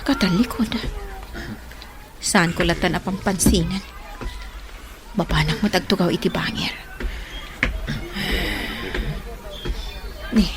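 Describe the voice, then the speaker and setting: A woman's voice: medium pitch, natural voice. A woman with an Ilocano accent playing a frank, lovelorn single woman in her thirties in a radio drama. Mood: musing. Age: 30s.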